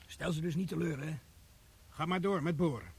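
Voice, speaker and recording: A man's voice: fairly high pitch, normal speed, a little hiss or hum, close to an everyday voice. A man playing a dry, sarcastic army corporal in a radio drama, bossing his sergeant around. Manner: dry, mocking, bossy.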